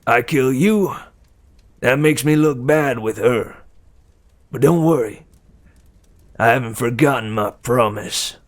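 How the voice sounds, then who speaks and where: A brash god of war, as a male reader voices him taunts in a gruff, unhurried voice. He sounds menacing and sure of himself, in a pitch close to the reader's own. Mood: taunting.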